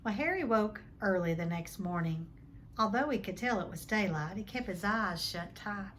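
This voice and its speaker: A woman's voice: mid-range voice, lively intonation, moderate pace, fairly bright tone, slight background noise. A woman reading a storybook aloud expressively, like a teacher addressing her listeners. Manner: addresses listeners, dramatizes the text. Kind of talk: expressive storybook read-along.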